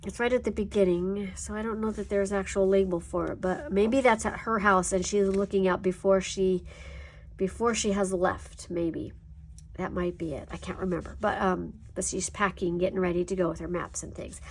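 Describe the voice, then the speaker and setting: A woman's voice: mid-range voice, steady tone, normal speed, slight background noise. A woman with a stuffy, congested nose, chatting casually and rambling a little as she shows her finished coloring pages. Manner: rambling, chatty, frequent ums and self-corrections. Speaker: coloring channel host.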